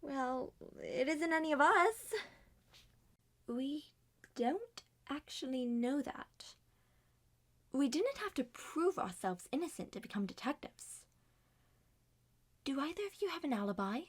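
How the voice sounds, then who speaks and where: Young animated murder mystery character, played by a woman: higher voice, earnest, anxious, theatrical and deliberate. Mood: hesitant, questioning. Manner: theatrical, deliberate acted dialogue. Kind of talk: scripted ASMR roleplay, character voices.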